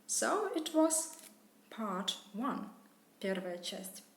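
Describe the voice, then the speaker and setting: Woman, English tutor reading a bedtime tale, slow and clear, echoey room. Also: mid-range voice, sing-song. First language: Russian. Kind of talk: reading a children's fairy tale aloud. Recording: roomy echo.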